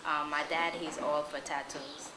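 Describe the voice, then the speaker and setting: A young woman in her early twenties speaks with a low voice, pausing on "um" and "like." She is recorded in an echoey room with clearly audible background noise. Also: little pitch movement. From Queens, New York. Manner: hesitant, with many "um"s. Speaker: interviewee. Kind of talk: casual interview.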